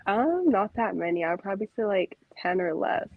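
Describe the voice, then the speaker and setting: A woman in her twenties talking as a guest in a relaxed, laid-back way, laughing easily. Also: mid-range voice, a little fast. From USA. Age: 20s. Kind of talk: casual chat. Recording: dull lo-fi dry sound.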